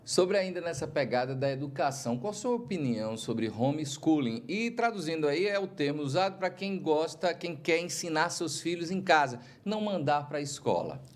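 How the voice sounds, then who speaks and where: A man from Brazil hosting a podcast interview, asking measured, leading questions with some hesitant starts. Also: a little high, expressive. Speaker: podcast host.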